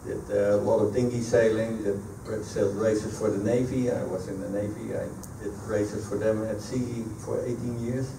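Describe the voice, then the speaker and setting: A Dutch-accented native Dutch man in his middle years fielding questions haltingly at a club evening, heard from the back of a reverberant room. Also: fairly low pitch, fairly even intonation, vocal fry. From Netherlands. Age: middle-aged. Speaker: guest speaker, sailor. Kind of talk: answering audience questions at a public talk. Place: meeting room at a sailing club. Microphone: handheld PA microphone, recorded by a camera across the room. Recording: echoey room, distant camera microphone, noisy.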